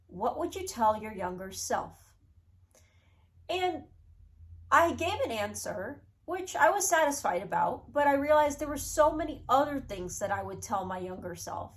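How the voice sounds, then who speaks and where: Nasal, sick young woman vlogging. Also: medium pitch. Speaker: YouTuber. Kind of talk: casual talking-head vlog advice.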